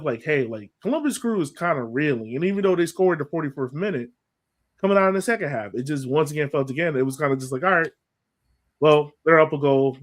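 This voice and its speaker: A man hosting a sports podcast, giving opinions in casual conversation and tossing in rhetorical questions. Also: a little high. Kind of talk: casual sports chat, opinionated game breakdown.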